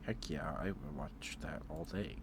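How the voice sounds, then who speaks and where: A man's voice: a bass voice, unhurried, noisy background. A man chatting casually on an ASMR livestream, rambling hesitantly and repeating his phrases, close to the microphone.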